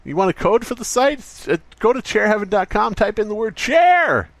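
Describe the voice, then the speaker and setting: A man's voice: sits high, very animated, normal speed, no echo, pitched above the performer's own voice. A man playing an over-eager, rambling salesman obsessed with his product in a spoof radio commercial. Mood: fervent, manic.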